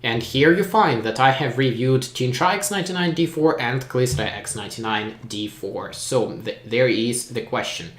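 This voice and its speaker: A man whose first language is Ukrainian, hosting a live question-and-answer session, talking plainly and matter-of-factly with frequent hesitant pauses. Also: mid-range voice, fairly bright tone, reverberant, a little hiss or hum. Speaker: tech channel host. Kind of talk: live Q&A reading viewer questions.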